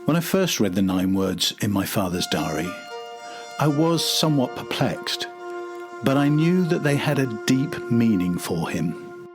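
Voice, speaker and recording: A man's voice: mid-range voice, big pitch swings, unhurried, music in the background. An older man narrating a documentary from a script.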